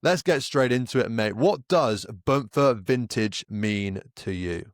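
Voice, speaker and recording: A man's voice: medium pitch, lively intonation, a little slow, dry recording. Upbeat male podcast host, dry close sound. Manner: upbeat, promotional, addresses listeners directly. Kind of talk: scripted podcast intro then casual interview.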